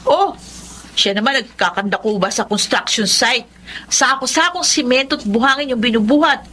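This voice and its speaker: A woman's voice: on the high side, normal speed, bright, forceful tone, a little hiss or hum. A woman acting a resentful, ambitious young student from a poor family in a Filipino radio drama, complaining and scornful. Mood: scornful, angry.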